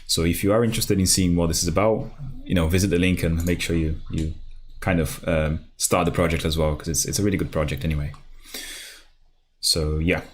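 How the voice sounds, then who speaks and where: Brazilian guy streaming code, deep voice, lots of ums and restarts, keys clacking behind, bit of hiss. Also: fairly even intonation, fairly quick. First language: Portuguese. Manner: casual, rambling with many fillers and restarts. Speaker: programming livestream host. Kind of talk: live coding tutorial, thinking aloud. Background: keyboard typing.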